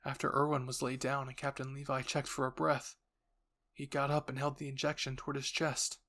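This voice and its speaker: A man's voice: medium pitch, little pitch movement, a little fast, bright, forceful tone. A man reading scripted, second-person dramatic story narration as a narrator and voice actor.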